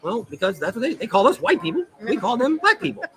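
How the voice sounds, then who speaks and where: Rambling man, bright voice. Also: extremely high pitch, fast talker. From United States, near Saint Louis. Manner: rambling, repeats himself, animated opinions. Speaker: show host. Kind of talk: casual opinionated chat. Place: live show at a restaurant.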